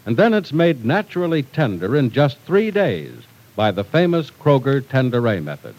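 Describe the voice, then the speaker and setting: A man's voice: mid-range voice, sing-song, moderate pace, a little mellow, rough recording. A man announcing on 1940s radio, reading scripted sponsor copy and story narration in an old broadcast recording.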